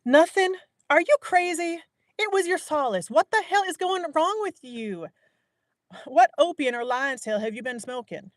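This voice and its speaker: A woman voices a lively, stern woman who scolds and teases down a phone line. She talks loud and high, with sarcastic bite. The sound is close and dry. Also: a little slow, dry recording. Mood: scolding.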